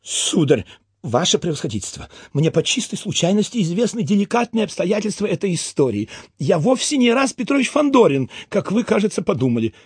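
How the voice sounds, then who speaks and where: A timid young clerk, voiced by a male narrator, speaks earnestly and deferentially in a fairly high, lively voice. His nervous politeness marks him as a junior official in an audiobook. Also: a little fast, husky, no echo, clean studio sound, exaggerated voice. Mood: formal, nervous.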